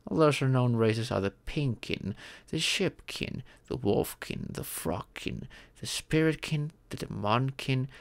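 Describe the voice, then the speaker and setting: A man voicing a wise, fatherly male dragon who patiently teaches in a measured, formal storytelling manner for a roleplay audio. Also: medium pitch, creaky, dry recording. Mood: grave, instructive.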